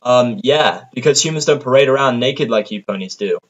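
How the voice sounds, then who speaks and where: A narrator plays a grumpy human man stuck among ponies in an audio drama. He is sarcastic and easily exasperated, with a bitter, blunt edge to his medium-pitched voice. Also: brisk, muffled recording, lightly acted.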